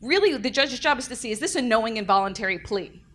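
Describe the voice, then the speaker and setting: A young adult woman, a defense attorney, giving an energetic conference lecture into a microphone. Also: a little high, fast. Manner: energetic, lively, self-described loud. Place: conference room. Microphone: handheld or podium mic.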